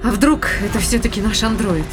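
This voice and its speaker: A woman's voice: medium pitch, brisk, over music, lightly acted. A woman playing a questioning, practical spaceship crew member in a science fiction audio drama. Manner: questioning, conversational. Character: captain's female crewmate, questioning, wary, practical.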